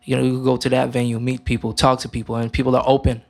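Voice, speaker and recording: A man's voice: mid-range voice, gravelly. A young man from Romania, a guest musician, talking casually in an interview with many fillers and restarts. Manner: relaxed, halting, many fillers.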